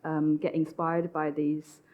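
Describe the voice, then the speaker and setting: A woman from China, an interior designer speaking thoughtfully on a panel, with some halting restarts. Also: low voice, little pitch movement, phone-quality sound. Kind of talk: panel discussion. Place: stage at a design event.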